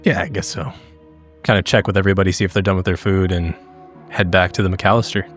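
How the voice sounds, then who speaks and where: A man improvising in character in a tabletop game, with a blunt, sweary and halting casual delivery. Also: low-pitched, little pitch movement. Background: background music.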